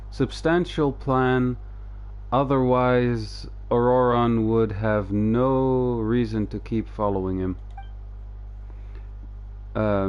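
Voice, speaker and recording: Slow-talking man rambling on a game stream. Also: medium pitch, darkish tone, smooth. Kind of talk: casual livestream commentary and reading game dialogue aloud. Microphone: close streaming microphone. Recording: game music behind the voice.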